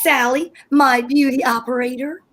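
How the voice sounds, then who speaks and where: A woman's voice: high-pitched, steady tone, moderate pace, a bit bright. A woman reading the part of a huffy, exasperated wife in an amateur old-time radio play. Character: wife Liz, fussy dramatic housewife.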